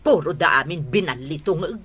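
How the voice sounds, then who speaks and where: Fussy scolding mother, radio drama, Northern Philippine accent, sharp forceful tone, animated. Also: deep voice, fairly quick, breathy edge, exaggerated voice. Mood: motherly nagging. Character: fussy, nagging mother of a grown son.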